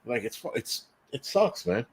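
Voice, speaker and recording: A man's voice: medium pitch, animated, moderate pace, creaky. Profane teasing man in his forties. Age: 40s. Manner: profane, teasing, reads chat aloud. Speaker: livestream host. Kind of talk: casual livestream chat.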